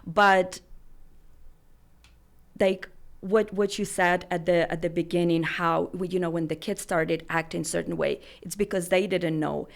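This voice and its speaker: A woman's voice: fairly low pitch, steady tone, measured pace, vocal fry. A middle-aged woman who immigrated to America, hosting a podcast and asking earnest, searching questions with frequent restarts. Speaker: podcast host. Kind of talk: conversational interview.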